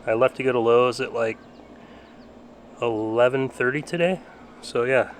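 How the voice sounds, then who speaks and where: Late-thirties man, everyday mid-pitched voice, chatty home vlogger, slight background hiss. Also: unhurried. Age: 30s. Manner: rambling, chatty, self-deprecating. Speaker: car channel vlogger. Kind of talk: casual vlog. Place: backyard and garage at home.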